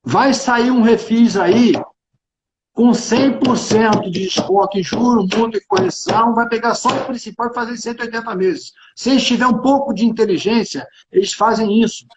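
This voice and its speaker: High-pitched, emphatic Carioca man. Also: normal speed, slight background noise. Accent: Carioca Brazilian Portuguese. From Rio de Janeiro, Brazil. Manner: emphatic, repeats phrases, colloquial and opinionated. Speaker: tax consultant and executive speaking on a livestream. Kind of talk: informal live business discussion. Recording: echoey room, dull band-limited livestream audio.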